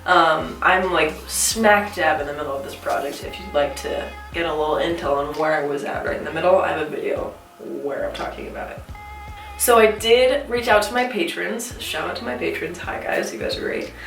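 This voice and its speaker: A woman's voice: deep voice, moderate pace, far from the mic. A woman in her twenties with a stuffy, congested voice from a cold, chatting casually to the camera about her art. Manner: chatty, self-questioning, giggly. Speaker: artist vlogger. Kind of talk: casual talking-to-camera vlog. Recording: roomy echo, a bit off-mic, soft music.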